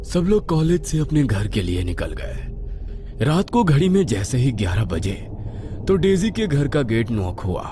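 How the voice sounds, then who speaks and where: A man's voice: mid-range voice, sing-song, unhurried, background noise. A man narrating a scripted horror story in a suspenseful, dramatic manner.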